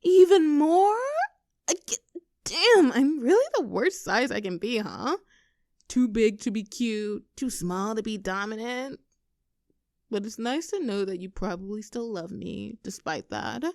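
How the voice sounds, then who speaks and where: A woman playing a playful, clingy young girlfriend in an intimate roleplay audio, teasing and cooing in an animated, affectionate way. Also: on the high side, a little slow, no echo, clean studio sound. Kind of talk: intimate girlfriend roleplay audio, scripted one-sided conversation.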